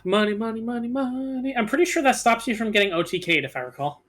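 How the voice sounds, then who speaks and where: Game commentator, mid-pitched voice, matter-of-fact explainer among friends, game music underneath. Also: lively intonation, fast, fairly bright tone. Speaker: gaming commentator and player. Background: video game music.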